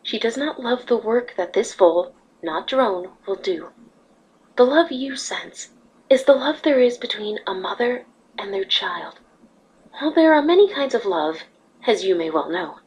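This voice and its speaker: A woman voicing a wise, motherly pony ruler in a fan fiction reading, speaking patiently and earnestly with a slightly husky voice. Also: mid-range voice, expressive, unhurried, poor audio. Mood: patient, questioning.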